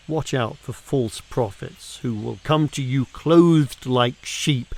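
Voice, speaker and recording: A man reads scripture aloud in a measured, deliberate way, using pauses and emphasis for dramatic effect. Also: medium pitch, a little mellow. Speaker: reader of scripture.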